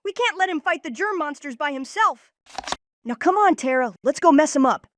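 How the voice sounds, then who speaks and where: A woman's voice: sits high, expressive, moderate pace, very noisy. A woman voicing a bold, earnest young boy who leads his friends in a comic dub. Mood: determined.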